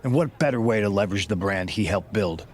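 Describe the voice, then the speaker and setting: A man's voice: on the low side, a little fast, lightly acted. Man playing a firm, calculating wrestling faction leader. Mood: confiding, persuasive. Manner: firm, persuasive. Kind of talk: dramatic video game cutscene dialogue.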